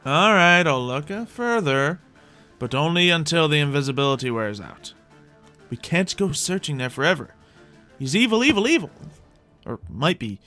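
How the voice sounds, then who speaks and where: A man's voice: a little high, sing-song, moderate pace, bright, forceful tone. Rambling male gamer over music. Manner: rambling, repeats his own phrases. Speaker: host of a Minecraft roleplay video. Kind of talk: casual gameplay commentary, talking to viewers in light roleplay.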